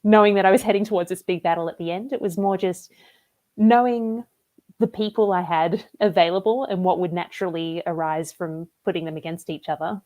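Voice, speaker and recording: A woman with an Australian accent, a debut novelist talking about her book in a relaxed, chatty live interview over a video call. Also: on the low side, brisk. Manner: chatty and relaxed, with frequent "like" and "um" fillers.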